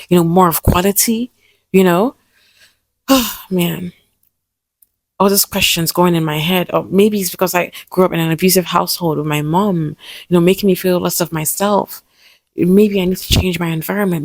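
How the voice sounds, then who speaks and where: A young woman with a lisp talks in a soft, hesitant, personal vlog style and often restarts her sentences. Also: on the low side, gravelly, no echo. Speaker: vlogger.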